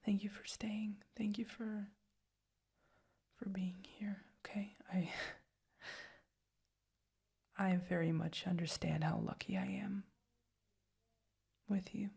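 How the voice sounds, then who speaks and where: A young woman speaking tenderly in a soft whisper, as in an ASMR roleplay. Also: medium pitch, flat delivery, slow, gravelly, pauses often, breathy edge. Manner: tender, affectionate. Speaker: roleplay partner.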